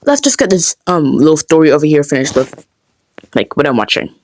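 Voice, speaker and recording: A woman's voice: deep voice, very animated, brisk, darkish tone. A woman chatting casually to her viewers as a video creator.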